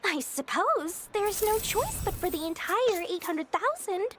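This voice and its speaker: A woman's voice: very high voice, sing-song, a little slow, fairly bright tone, slight background noise, heavily acted. A woman voicing a greedy, gleeful young girl merchant in a video game, with a sugary, smug sales manner. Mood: smug, delighted. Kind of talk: animated video game voice acting.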